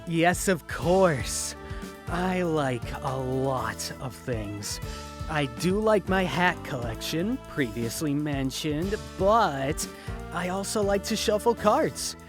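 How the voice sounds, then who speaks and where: A man voicing a suave, laid-back French seventeen-year-old talent scout in an audio drama interview, calm and confident. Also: fairly high pitch, slow pace, music in the background, voice pushed up. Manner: calm, confident, silver-tongued.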